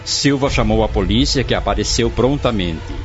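A man's voice: fairly low pitch, normal speed, lo-fi sound, faint music behind. A man with a Brazilian accent giving formal, dramatic scripted narration for a radio drama.

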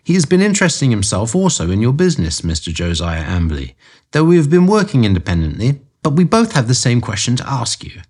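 A man reading aloud voices a sharp, authoritative detective with a dry wit. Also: mid-range voice, wildly swooping pitch, fast, pitched above the performer's own voice. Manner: crisp, authoritative. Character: sharp, commanding male detective, dry humor.

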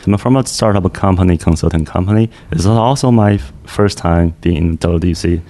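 Low, mellow man from China. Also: fairly quick. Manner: loose phrasing, frequent fillers. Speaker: guest developer. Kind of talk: casual interview chat. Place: conference venue.

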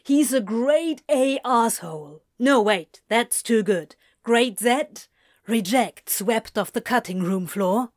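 A woman's voice: a little high, expressive, slow, no room sound, studio quality. A woman voicing a sardonic, grumbling man with a theatrical, sarcastic way of talking, in a story reading. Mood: scornful.

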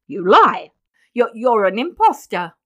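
A woman voicing a frantic, horrified gentleman who has just become a father, spluttering and repeating himself in a storybook reading. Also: high voice, big pitch swings, measured pace, highs cut off, voice pushed up. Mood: outraged.

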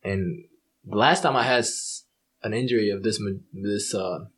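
A man in his twenties, a professional soccer player, chatting casually as a guest, relaxed and swearing freely. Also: on the low side, normal speed, slightly rough, rough recording. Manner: relaxed, swearing, animated when retelling. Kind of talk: casual chat.

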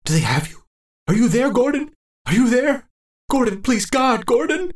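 Man doing a confused old man, frantic, repetitive, swooping pitch, distant, echoey. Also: lively intonation, normal speed. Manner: frantic, repetitive muttering in first person. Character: frightened, confused old man searching for his companion. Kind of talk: horror audiobook narration. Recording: roomy, distant echo.

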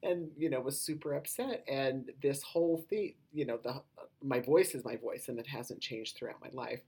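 A woman with a low voice hosting a podcast, talking in a rambling, casual way with lots of "you know" and "um" asides. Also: moderate pace, dark tone, slightly rough. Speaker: podcast host.

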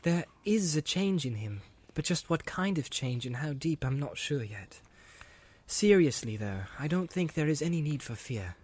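A man voicing a weary, kind young male hero who speaks in a gentle, measured, polite way. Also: a little high, expressive, slightly rough, voice pushed up. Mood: calm. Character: weary, kindly young male hobbit leader.

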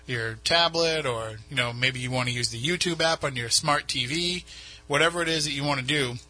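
A man's voice: mid-range voice, brisk, pushed and bright. A man with a New England accent hosting a radio show, chatting casually in a studio with many restarts. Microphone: studio mic.

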